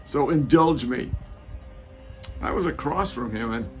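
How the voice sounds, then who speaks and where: A man playing a reflective, doubting Roman soldier who is proud of his rank, speaking in a measured, halting way in a dramatic reading. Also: mid-range voice, expressive, a little mellow, soft background music, natural voice. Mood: firm, reflective. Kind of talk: dramatic reading of a church passion play.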